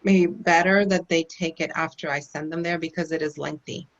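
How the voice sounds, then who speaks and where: A woman's voice: deep voice, fairly even intonation, moderate pace. A woman client on a video call, mostly giving short agreeing replies. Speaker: coaching client. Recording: dull, lo-fi video call audio.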